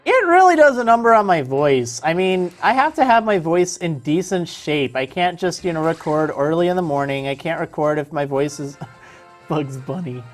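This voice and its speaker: Woman, game streamer, casual chat, strained tired voice, lowish pitch, very animated intonation. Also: normal speed, faint music behind. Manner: chatty, answers viewer chat while playing. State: voice strained and tiring.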